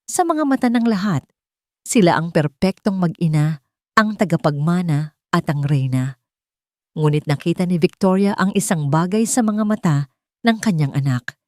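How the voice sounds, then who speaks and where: A Filipino woman narrates a dramatic romantic story. Her voice sits low for a woman. The sound is dry, with little room around it, and the delivery is that of a scripted storyteller. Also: lively intonation, normal speed, darkish tone, no echo.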